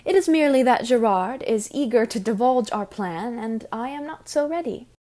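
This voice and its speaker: A woman acts a diplomatic young noblewoman in a period audio drama. Her voice is a little high. She speaks with gracious, careful formality. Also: lively intonation, moderate pace, dark tone, lightly acted. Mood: friendly, confiding. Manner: polite, formal period speech.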